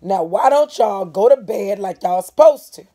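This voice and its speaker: A woman's voice: mid-range voice, animated, normal speed, mellow, pitched above the performer's own voice, natural voice. A woman voicing a defensive, troubled adolescent Southern boy in a dramatic storybook reading. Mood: harsh.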